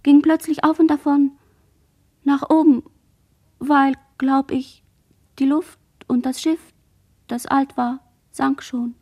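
A woman in a radio play, playing a dazed, traumatised girl who survived a massacre, in halting fragments. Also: high-pitched, flat delivery, warm tone. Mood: haunted, halting.

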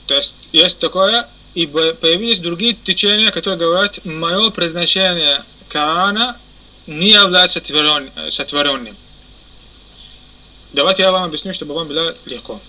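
Bright-voiced man teaching, checking understanding. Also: on the high side, rough recording. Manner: keeps checking that listeners understand; halting, many fillers and self-corrections. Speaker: religious teacher. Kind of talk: explanatory lesson.

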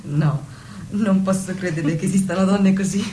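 Wistful, faithful young wife played by an actress, radio play, earnest and plaintive, mid-pitched, slight background noise. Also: female voice, normal speed, dark tone, lightly acted. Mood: earnest, disbelieving. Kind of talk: stage-play dialogue with snatches of song.

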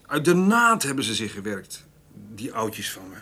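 An actor playing a troubled middle-aged farmer in a radio play, husky, with hesitant, plainspoken speech that often restarts. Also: male voice, lowish voice, big pitch swings, normal speed, pushed and bright, heavily acted. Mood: weary, rueful. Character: troubled farmer, rough-spoken, brooding over his mother.

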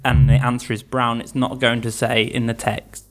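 A man's voice: mid-range voice, flat delivery, normal speed. A young man from England, an English teacher, chatting casually in an interview, laughing easily and restarting his sentences.

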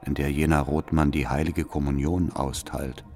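An actor playing a devout, calculating church spy in a radio drama, reading out his reports in a formal, deliberate, deferential manner. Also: a bass voice, little pitch movement, darkish tone. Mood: deferential, watchful. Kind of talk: radio drama letter monologue. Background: faint music.

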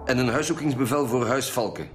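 A man's voice: mid-range voice, a little fast, lightly acted. A man with a Flemish accent playing a stern, wry lead homicide detective in a radio drama, with a formal, probing manner. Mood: wry, brisk. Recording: music behind the voice.

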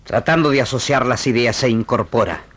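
A man's voice: mid-range voice, brisk, fairly bright tone, lightly acted. A man narrating a frontier radio serial as a solemn storyteller, in a dramatic scripted style. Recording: noisy old radio archive tape.

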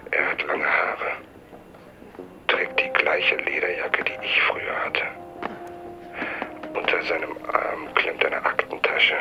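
A watchful, brooding narrator in a radio play, speaking his private thoughts. The voice is extremely deep and gravelly, hushed almost to a whisper. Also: exaggerated voice, rough recording. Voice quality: breathy near-whisper, gravelly. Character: brooding, watchful male journalist telling his inner thoughts. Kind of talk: radio drama inner monologue.

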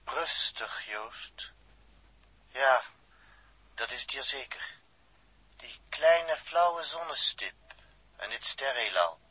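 Radio play role: a thoughtful, stoic space traveller, sharp yet raspy, theatrical and deliberate. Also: male voice, medium pitch, no echo, heavily acted. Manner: reflective, measured, theatrical. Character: pensive, stoic, self-sacrificing astronaut. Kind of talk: 1960s radio drama acting.

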